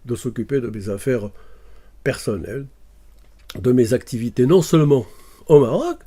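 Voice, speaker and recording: A man's voice: medium pitch, lively intonation, normal speed, dark tone, slightly rough. A man from Morocco, talking casually to camera and hesitating often with fillers and restarts. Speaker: video commentator.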